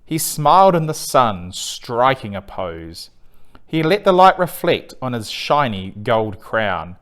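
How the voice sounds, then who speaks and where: Animated man reading a children's story. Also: medium pitch. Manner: animated, deliberate, theatrical read-aloud delivery. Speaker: storyteller reading a picture book. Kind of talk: expressive rhyming children's story narration.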